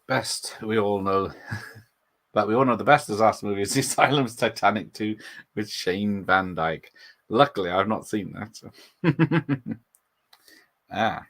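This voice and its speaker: Casual watch-party host: a man with a slightly low voice who rambles through film trivia and talks back to his chat. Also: measured pace, pauses often, fairly bright tone. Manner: rambling, chatty, laughs often, reads out chat comments. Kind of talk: casual live movie commentary.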